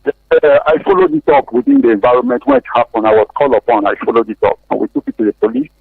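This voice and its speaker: Over a muffled, dry phone line, a man speaks as a radio caller. His gravelly voice swings widely in pitch as he haltingly recounts events, often repeating phrases. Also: very animated, dry recording. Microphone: phone call.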